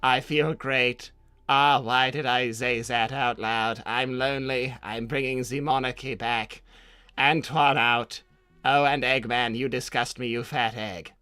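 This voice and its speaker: A man's voice: medium pitch, fairly even intonation, a little slow, pushed and bright, smooth, no echo, voice pushed down. A man voicing a petulant, lonely cartoon royalist in a haughty, melodramatic way. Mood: wounded, disdainful.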